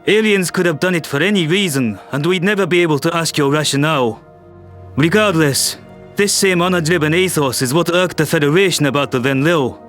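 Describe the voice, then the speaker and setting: A man's voice: a little high, brisk, fairly bright tone, lightly acted. A man playing an authoritative, formal Secretary General in an audio drama. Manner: formal, declarative. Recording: music bed under voice.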